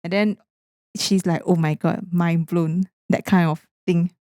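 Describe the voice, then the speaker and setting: A woman's voice: fairly low pitch, level tone, unhurried, mellow, no echo, clean studio sound. A young woman with a Malaysian English accent, co-hosting a podcast in casual chat and scattering "lah" through her sentences. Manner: chatty, drops in Malay and Hokkien particles like "lah". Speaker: podcast co-host.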